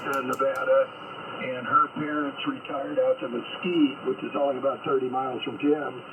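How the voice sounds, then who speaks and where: A man's voice: mid-range voice, little pitch movement, normal speed. A man on a ham radio call, chatting casually and rambling with many fillers. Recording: tinny radio line with noise.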